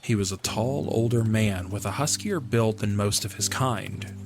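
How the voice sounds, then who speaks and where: Casting: male narrator for a fantasy audio drama, low voice, scripted reading, faint music behind. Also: moderate pace, darkish tone.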